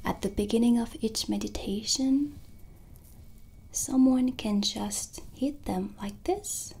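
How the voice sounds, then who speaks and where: A woman in her thirties whispering breathily and gently into a close microphone, with long pauses, as an ASMR witch shopkeeper. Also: a little high, vocal fry, husky. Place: small dark candlelit indoor set. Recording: background ambience noise.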